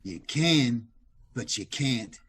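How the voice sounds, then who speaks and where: Man playing a slow, husky, surly gangster. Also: medium pitch, animated, fairly bright tone, heavily acted. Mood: curt, hostile. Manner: curt, menacing, unhurried. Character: surly, slangy male gangster. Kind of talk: old-time radio crime acting.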